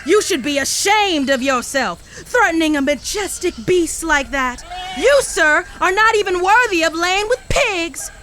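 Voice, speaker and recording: A haughty frontier lady in a Western radio play. Her voice is very high, sharp and bright, with a florid, scolding delivery. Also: lively intonation, unhurried, full character voice. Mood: scornful. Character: indignant, scornful frontier lady with grandiose insults. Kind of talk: theatrical Western audio drama acting.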